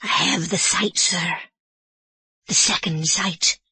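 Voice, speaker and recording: A woman's voice: fairly low pitch, steady tone, slow pace, sharp tone, slightly rough, no room sound, heavily acted. A woman voicing an anxious elderly housekeeper woman with an Irish brogue, apologetic and deferential. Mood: hesitant. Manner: apologetic, deferential, sir-ing constantly.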